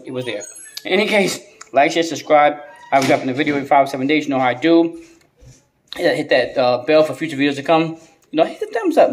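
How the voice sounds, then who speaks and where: A man with a fairly high voice talks through a casual gadget review. His delivery is slangy and conversational, and he often doubles back to repeat words and phrases. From United States. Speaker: gadget reviewer and video host. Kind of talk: casual unboxing and review vlog.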